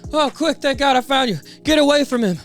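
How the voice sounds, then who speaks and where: Man putting on a high, panicked young daughter. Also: a little fast, faint music behind. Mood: alarmed. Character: panicked young daughter calling for her father.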